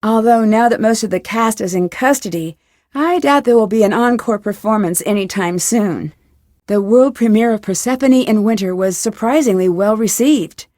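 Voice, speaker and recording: A woman's voice: medium pitch, normal speed, a little mellow, no room sound, clean studio sound, lightly acted. A woman voicing a young female detective who narrates a mystery game's story in a wry, conversational way. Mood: wry, composed.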